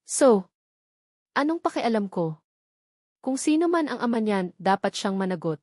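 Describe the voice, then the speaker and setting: A woman's voice: mid-range voice, sing-song, unhurried, a little mellow, no room sound, studio quality. A woman storyteller voicing an arrogant young rich son who talks in a scornful, dismissive and defiant way.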